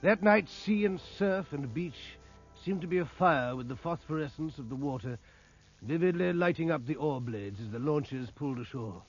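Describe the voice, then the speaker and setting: Earnest British naval narrator, grave and literary, husky higher voice, muffled, faint music underneath. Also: male voice, normal speed. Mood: grave, tense. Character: earnest British naval officer recounting his own exploits. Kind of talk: dramatic first-person scripted narration.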